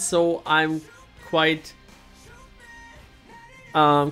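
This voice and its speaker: A man from Bavaria, a native German speaker, chatting casually on a game stream, often restarting sentences and chuckling. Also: on the high side.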